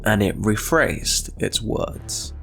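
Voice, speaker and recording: A man's voice: lowish voice, expressive, slow, gravelly, a bit bright. A man narrating a scripted story as the host of a channel. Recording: faint music behind the voice.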